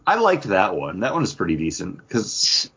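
A man with a fairly low, husky voice chats casually as a podcast co-host. He talks in halting, filler-strewn bursts, and the recording is slightly dull and lo-fi. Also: huge pitch swings, sharp tone. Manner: halting, conversational, filler-heavy with frequent 'like'. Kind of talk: casual geek-culture chat.

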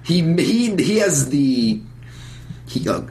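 A man co-hosting a casual video game podcast, eager and agreeable, quick to joke. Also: on the high side, very animated, a little mellow, a little hiss or hum. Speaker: podcast co-host. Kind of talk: casual chat about video games.

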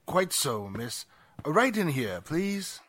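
Slow, formal, deferential butler played by a man. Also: on the high side, big pitch swings, noisy background. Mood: polite, stiff. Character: deferential, grave male butler, formally polite. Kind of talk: 1940s radio drama acting.

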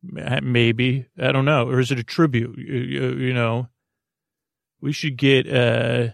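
A man hosting a bedtime podcast in a meandering, hesitant monologue full of stammered false starts and tangents, with a gravelly voice. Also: mid-range voice, little pitch movement, a little slow, dry recording, studio quality. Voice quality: gravelly, creaky. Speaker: podcast host.

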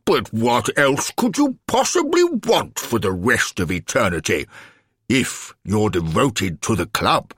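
Pompous storybook alderman, acted by a man: blustering, self-important, hugely animated swoops over slow, stately delivery. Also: lowish voice, breathy edge, no echo, studio quality, voice pushed up, exaggerated voice. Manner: pontificating, self-important, indignant. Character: pompous, blustering male alderman and football chairman.

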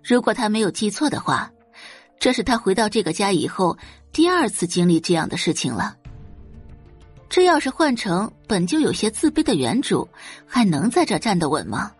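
A woman's voice: mid-range voice, sing-song, normal speed, breathy edge, no echo, faint music behind. A woman narrating an audio novel in a dramatic, scripted storytelling style.

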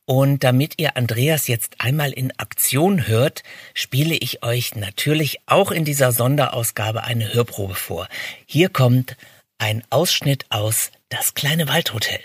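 A man's voice: medium pitch, unhurried, slightly rough, no room sound. A man hosting a podcast, addressing the listeners directly as presenter. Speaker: podcast host.

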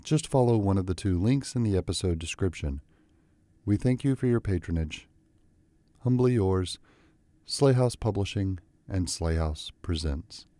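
A man's voice: sits low, expressive, a little slow, dark tone, dry recording. A man presenting and narrating a classic story as a dramatic literary reading.

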